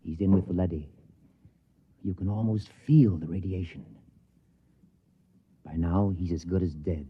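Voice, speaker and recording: A man's voice: sits low, steady tone, measured pace, pauses often, slight background noise. A man playing a stern, restrained middle-aged father in a 1950s radio drama, matter-of-fact and controlled, on a dull old recording. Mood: hushed, restrained.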